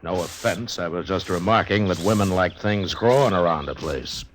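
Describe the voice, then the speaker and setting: An American actor playing a stern, weary, decent frontier marshal, terse and plainspoken, in a 1950s Western radio drama. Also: male voice, deep voice, moderate pace, a little hiss or hum, close to an everyday voice. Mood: firm, frank. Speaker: lead character and narrator.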